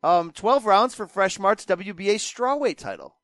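A man's voice: high voice, expressive, moderate pace, a bit bright, no room sound, studio quality. A man hosting a boxing podcast, rattling off the weekend's fight listings with wry, joking asides. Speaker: podcast host. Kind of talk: casual chat.

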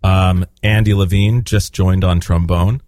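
A man's voice: deep voice, fairly even intonation, measured pace, darkish tone, dry recording, clean studio sound. A man from Spain, a guest band member, chatting casually with a rambling, self-deprecating manner. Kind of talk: casual chat.

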